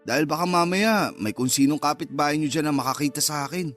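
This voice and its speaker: A man's voice: fairly high pitch, fast, a bit bright, faint music behind, lightly acted. A man acting a cocky, teasing and easily wounded secret lover, with a Filipino accent, in a radio love drama. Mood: irritated.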